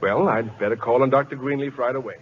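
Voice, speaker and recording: An actor playing an earnest, cocksure college scientist in old radio drama style, precise and formal, stammering when flustered. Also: male voice, medium pitch, quick pace, loud music behind the voice, natural voice. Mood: pleased, narrating. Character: earnest, cocksure male college scientist turned would-be pitcher. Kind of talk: 1940s-50s radio drama acting. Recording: dull lo-fi old radio broadcast.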